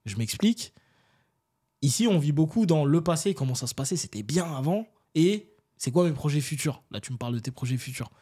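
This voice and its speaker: A man's voice: on the high side, animated, brisk, dark tone, slightly rough. Casual, slangy man telling stories. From France. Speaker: interview guest. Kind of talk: casual conversational storytelling.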